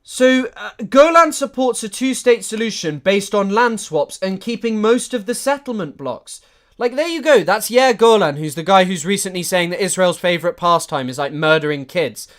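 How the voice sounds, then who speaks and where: A man giving chatty live-stream commentary, animated and full of fillers and restarts. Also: sits high, normal speed.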